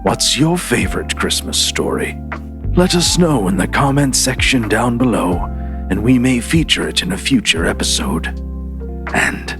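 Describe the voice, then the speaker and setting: A man's voice: mid-range voice, sing-song, unhurried, music in the background. A man giving a dramatic, theatrical reading of a scripted story as storyteller and host.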